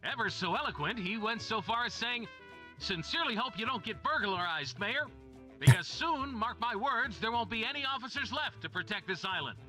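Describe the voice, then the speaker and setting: A breezy 1950s island radio announcer in a game, high-pitched and lively. He is showy and wry, and his bright delivery rides over faint music. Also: moderate pace, low-quality recording. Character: chirpy folksy 1950s small-island radio announcer, male.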